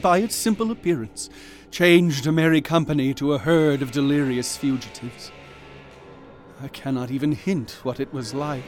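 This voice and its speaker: An actor playing a haunted man who narrates his own horror in slow, ornate, dread-laden words. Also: on the high side, close to an everyday voice.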